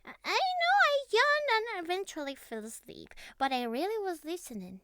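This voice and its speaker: A woman playing a clingy, teasing young catgirl best friend in an intimate roleplay ASMR, speaking softly and cutely with breathy murmurs. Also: extremely high pitch, sing-song, dry recording, studio quality, exaggerated voice. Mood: tender, wistful. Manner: soft, cutesy, breathy sighs and murmurs, slow. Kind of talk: intimate roleplay ASMR, scripted monologue to the listener.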